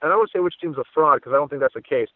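A man's voice: on the high side, racing, no room sound. A man giving conversational sports analysis as a guest, talkative and repeating words as his thoughts form. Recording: tinny phone-line audio.

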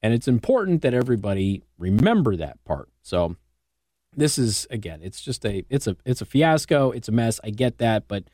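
An American man hosting talk radio, speaking in a conversational, emphatic monologue with rhetorical questions and pauses for effect. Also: medium pitch, big pitch swings, mellow, no room sound, clean studio sound. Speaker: radio talk show host. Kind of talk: conservative talk radio monologue with host-read ads. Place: radio studio. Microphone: close broadcast microphone.

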